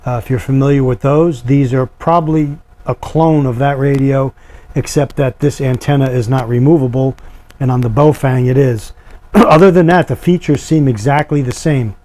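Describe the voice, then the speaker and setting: Mellow middle-aged man explaining gear plainly. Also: medium pitch, normal speed. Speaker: product reviewer. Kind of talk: unscripted product unboxing demonstration.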